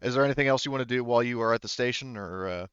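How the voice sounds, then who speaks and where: A man's voice: mid-range voice, a bit bright, clean tone, dry recording, highs cut off. A man running a tabletop roleplaying game as game master, narrating off the cuff and searching for words. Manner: halting, hedging, searches for words.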